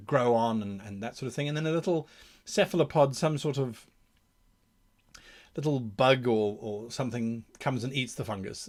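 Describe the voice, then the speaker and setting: A man hosting an instructional talk on game mastering, speaking off the cuff in a theatrical, emphatic way and repeating words for effect. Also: fairly high pitch. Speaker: host of a game master tips channel. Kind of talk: instructional talk, improvised explanation.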